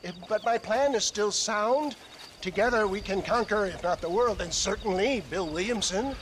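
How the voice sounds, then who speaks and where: A man's voice: high-pitched, normal speed, slight background noise, natural voice. An actor playing an aging, pompous snake-oil salesman in a western game, florid, theatrical and wheedling. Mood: flustered pleading. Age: older. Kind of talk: theatrical video game acting.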